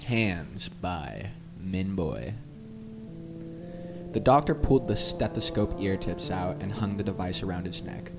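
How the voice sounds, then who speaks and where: A man's voice: deep voice, lively intonation, measured pace. A man co-hosting a horror show, reading stories and chatting about them. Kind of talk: story reading and casual commentary. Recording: music bed behind the voice. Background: background music.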